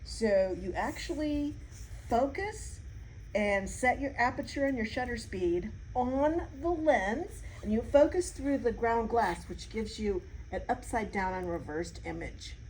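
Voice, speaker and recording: A woman's voice: fairly high pitch, lively intonation, unhurried, darkish tone, poor audio. Chatty, enthusiastic woman with faint room noise. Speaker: artist being interviewed. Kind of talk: casual chat, explaining.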